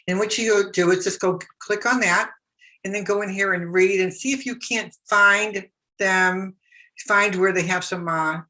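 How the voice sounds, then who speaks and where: Bright-voiced woman giving a chatty tutorial. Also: on the low side, rough recording. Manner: chatty, repeats herself, many fillers and restarts. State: losing her voice. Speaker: business blogger teaching online marketing. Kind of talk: casual screen-share tutorial.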